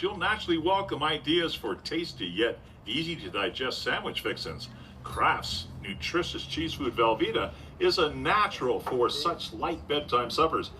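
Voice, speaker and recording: An actor playing a polished, upbeat Golden Age radio announcer, delivering scripted narration and sponsor copy. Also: male voice, mid-range voice, pushed and bright. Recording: distant stage mic, faint noise.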